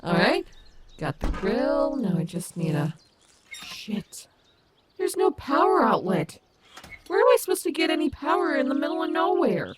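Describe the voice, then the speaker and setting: A woman's voice: high voice, sing-song, normal speed. Cocky wolf woman, played by an actress, noisy background. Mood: exasperated. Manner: confident, sassy, doting on the listener. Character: cocky, protective female animatronic wolf, affectionate and bossy. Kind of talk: fan audio roleplay acting, addressing the listener.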